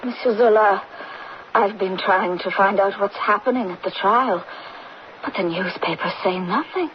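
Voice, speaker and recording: Female actor as worried wife, earnest, pleading, radio drama, medium pitch, muffled lo-fi broadcast. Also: moderate pace, lightly acted. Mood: anxious. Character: anxious, pleading wife of a condemned prisoner. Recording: dull, noisy old radio recording.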